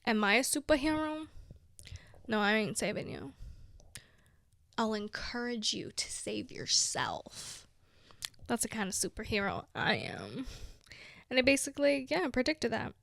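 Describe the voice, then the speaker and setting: A young woman in her twenties talks loosely and warmly to her viewers, her voice sitting slightly high. She giggles between thoughts and fills her sentences with "like" and "you know." The recording is dry and close, with no room sound. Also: very animated, measured pace, creaky, pauses often, dry recording. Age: 20s. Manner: chatty, giggly, many "like"s. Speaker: vlogger. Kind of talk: casual chat to camera.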